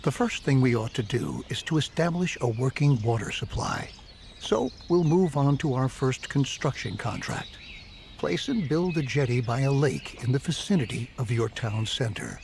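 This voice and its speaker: An actor playing a measured, helpful settlement adviser in a video game, explaining tasks formally in scripted lines. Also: male voice, medium pitch, expressive, vocal fry, darkish tone, slight background noise. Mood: measured, instructive. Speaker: game tutorial narrator. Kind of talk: scripted instructional game dialogue.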